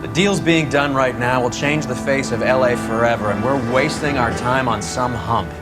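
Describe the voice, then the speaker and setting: An actor playing a cynical, sneering American police detective in a video game, blunt and sardonic. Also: male voice, mid-range voice, normal speed, loud music behind the voice, natural voice. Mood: cynical, dismissive. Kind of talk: video game cutscene acting.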